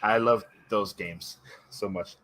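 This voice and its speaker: A man's voice: fairly low pitch, fairly even intonation, slow pace, a bit bright. A man chatting casually and jokingly as a panelist in a group discussion. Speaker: panelist on a movie draft show.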